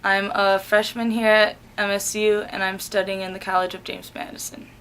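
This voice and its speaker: A young woman of eighteen speaks in a measured, articulate way. Her voice is smooth and clear, held in a fairly flat pitch. She is giving casual interview answers. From Michigan, USA. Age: young adult. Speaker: college student interviewee.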